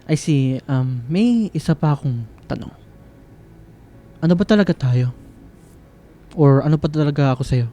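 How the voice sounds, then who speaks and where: A man with a Filipino accent playing a hesitant, soft-spoken young man hopelessly in love with his best friend, in a radio drama. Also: a little high, lively intonation, measured pace, pauses often. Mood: hesitant, wistful.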